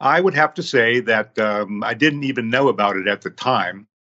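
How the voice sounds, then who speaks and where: A man's voice: mid-range voice, lively intonation, sharp tone. Older man, dull lo-fi sound. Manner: unhurried, telling his story in numbered steps. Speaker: guest. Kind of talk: podcast interview storytelling.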